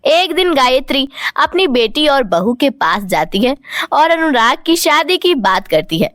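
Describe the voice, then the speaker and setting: A woman's voice: high voice, sing-song, unhurried, a bit bright, no room sound, clean studio sound. A woman narrating a scripted moral story for a cartoon.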